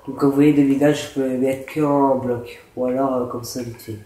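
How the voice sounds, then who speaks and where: A man's voice: mid-range voice, little pitch movement, normal speed, dark tone. A man with a cold and a sore throat, hosting a casual gameplay tutorial, explaining in a rambling, hesitant way. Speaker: gaming channel host. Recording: roomy echo with faint noise.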